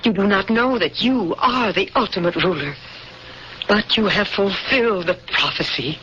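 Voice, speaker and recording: An actress playing a calm, secretive woman official who insists on a prophecy, in a 1970s radio drama. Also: mid-range voice, moderate pace, a little hiss or hum, lightly acted. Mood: solemn. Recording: dull lo-fi old radio broadcast.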